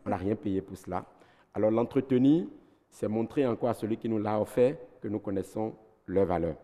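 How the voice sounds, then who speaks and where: A man's voice: fairly low pitch, fairly quick, mellow, big-room sound. A man with a Beninese French accent, a doctor giving health advice on a TV talk show, methodical and didactic, listing his points one by one. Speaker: ENT doctor guest.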